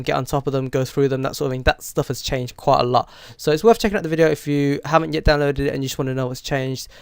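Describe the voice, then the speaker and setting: A man with a cold and a croaky, stuffy voice, chatting through a gaming news rundown with plenty of fillers. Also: on the high side, dry recording, studio quality. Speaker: gaming channel host. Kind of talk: casual news rundown to camera.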